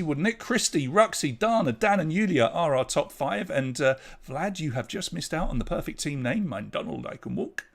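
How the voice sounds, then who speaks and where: Chatty English quizmaster, lively delivery. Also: male voice, on the high side. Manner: chatty, jokey banter with players between questions. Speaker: quiz host. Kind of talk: hosting a live online pub quiz.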